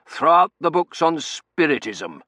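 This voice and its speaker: A man voicing a gruff older Victorian colonel in an audiobook, barking in clipped fragments that drop their subjects. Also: fairly high pitch, sing-song, a little slow, sharp tone, no room sound, clean studio sound, voice pushed up, heavily acted. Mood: blustering, commanding. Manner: clipped shorthand speech, dropping sentence subjects. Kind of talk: audiobook character voice.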